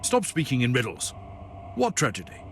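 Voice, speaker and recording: A man's voice: mid-range voice, huge pitch swings, normal speed, slight background noise. A man playing a stern, ruthless human inquisitor in a video game, with a blunt, authoritative delivery. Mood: threatening. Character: stern, commanding male human Inquisitor psyker, ruthless investigator. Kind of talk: dramatic video game acting.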